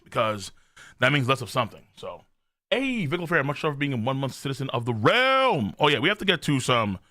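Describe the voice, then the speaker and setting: Bright, forceful male livestreamer. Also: medium pitch, a little fast. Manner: loud, animated, rambling, frequent swearing. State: mild on-and-off throat strain. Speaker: live streamer, host. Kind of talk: casual livestream chat, reading viewer messages.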